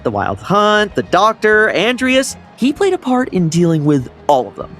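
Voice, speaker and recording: An actor playing a sly, cheerful young knight in a game, breezy and smooth-talking. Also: male voice, high voice, wildly swooping pitch, moderate pace, a bit bright, soft background music, full character voice.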